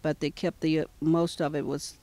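Soft-spoken older woman, polite and hesitant. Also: deep voice, little pitch movement, normal speed, dry recording. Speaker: customer. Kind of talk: casual testimonial answers. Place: outdoors in front of a house. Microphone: handheld mic held by the interviewer.

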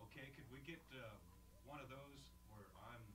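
Casting: halting male interviewer, far off the mic, clear background noise. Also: medium pitch, fairly even intonation. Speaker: radio interviewer. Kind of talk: casual off-mic instructions.

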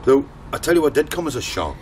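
An actor playing a polite, down-to-earth ex-convict with a clipped way of talking, in a naturalistic radio drama. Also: male voice, very animated, fast talker, lightly acted. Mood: bemused. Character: plain-spoken, polite ex-convict hitching a lift. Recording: noisy car interior, vehicle rumble. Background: moving vehicle noise.

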